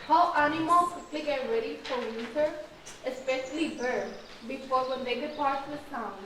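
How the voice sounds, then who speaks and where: A woman's voice: high-pitched, normal speed, close to an everyday voice. A woman playing a courtly wind spirit with a grand, formal manner in a puppet show. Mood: courtly, pleased. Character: courtly female wind spirit addressing the forest king. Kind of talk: puppet show stage acting. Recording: echoey distant room, clear noise.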